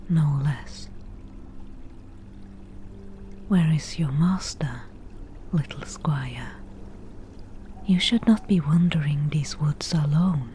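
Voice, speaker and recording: A woman plays a wry forest witch who brews potions and teases strangers in an ASMR roleplay. Her whisper is low and mellow, delivered slowly and dryly, as if nothing much impresses her. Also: a little hiss or hum. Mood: wary, teasing. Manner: whispered, dry teasing, unhurried.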